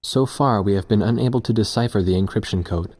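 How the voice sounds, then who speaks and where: Low-voiced sober war narrator played by a man. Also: brisk, darkish tone, lightly acted. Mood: sober, reflective. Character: pilot narrator recounting a war, sober and reflective. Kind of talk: scripted narration.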